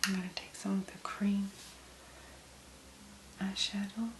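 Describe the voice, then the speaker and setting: A woman giving a soft-spoken ASMR make-up tutorial, mostly in a breathy whisper, murmuring unhurriedly in short phrases. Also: medium pitch, level tone, unhurried, roomy sound, slight background noise. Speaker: ASMR host.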